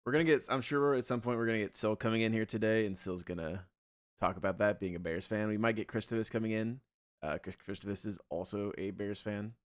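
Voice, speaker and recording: A young man streaming a game and chatting loosely with his viewers, his voice a little scratchy from yelling the night before. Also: on the low side, fast talker. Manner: relaxed, rambling, talks to chat. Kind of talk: casual livestream chat.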